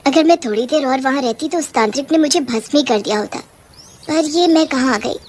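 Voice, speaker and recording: A woman acting as an indignant female witch from a cartoon horror comedy. The voice is high, and the manner is fussy and scolding. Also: brisk, a little mellow, lightly acted. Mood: alarmed. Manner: scolding, complaining.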